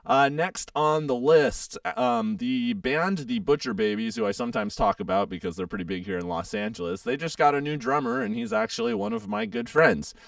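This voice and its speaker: A young man hosts a casual radio show with a heavily gravelly, hoarse voice. He talks in a lively, up-and-down way, rambling through fillers. His voice is smooth under the rasp, recorded dry and slightly off-mic. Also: on the high side, moderate pace, hardly any pauses, no echo, far from the mic. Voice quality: froggy, gravelly. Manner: chatty, rambling with many fillers. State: hoarse, getting over a sore throat.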